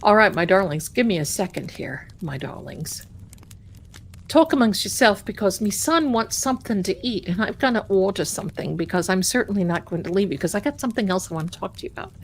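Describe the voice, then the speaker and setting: A middle-aged woman casually chatting with her live-stream viewers as a tarot reader, rambling warmly and calling them her darlings. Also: mid-range voice, animated, fairly quick, a little hiss or hum.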